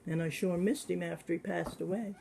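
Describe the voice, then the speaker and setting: A man in his seventies, answering questions about his childhood in a plain, hesitant way with many pauses. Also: high-pitched, fairly even intonation, vocal fry, a little mellow, a little hiss or hum, rough recording. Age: 70s. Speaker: interviewee. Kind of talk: casual recollection.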